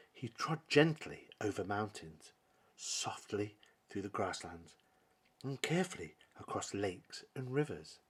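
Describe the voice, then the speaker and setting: A male storyteller reads a picture book in a dramatic, theatrical style. His slightly husky voice rises and falls widely, and he takes his time, pausing for emphasis between phrases. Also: on the low side. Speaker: storybook reader. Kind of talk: dramatic children's story narration.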